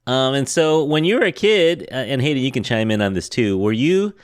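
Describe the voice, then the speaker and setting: Lively man hosting a podcast. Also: mid-range voice, clear, resonant tone, no room sound, clean studio sound. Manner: friendly, chatty, quick agreeing interjections. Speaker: podcast host. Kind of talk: casual interview chat.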